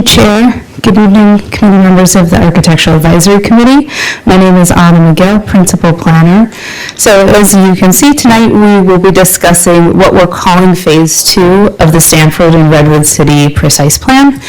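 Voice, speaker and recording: A woman city planner giving a formal staff presentation to a committee, speaking clearly and in order. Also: fairly low pitch, a little mellow, low-quality recording.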